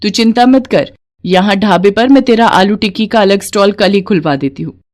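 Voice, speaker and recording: A woman's voice: mid-range voice, moderate pace, a little mellow, close to an everyday voice. A woman voicing a kind, blunt, big-sisterly roadside-eatery owner in a moral story. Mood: indignant, protective.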